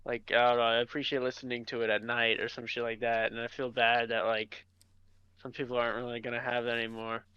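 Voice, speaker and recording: A man's voice: mid-range voice, monotone, quick pace, sharp tone, clear, resonant tone, no echo, muffled recording. A young man co-hosting a podcast, chatting casually in a loose, blunt, slangy way. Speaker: podcast co-host. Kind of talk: casual chat.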